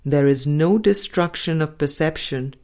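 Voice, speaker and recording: Slow, low-pitched woman reading an audiobook. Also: a little mellow. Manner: measured, deliberate, pausing between phrases. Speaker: audiobook reader. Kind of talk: scripted audiobook reading of spiritual teaching.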